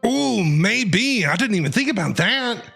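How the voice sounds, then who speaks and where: A man's voice: high voice, big pitch swings, unhurried, creaky, bright, forceful tone, husky. A man giving casual, reactive live commentary as a game streamer, with slangy remarks. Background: faint game music.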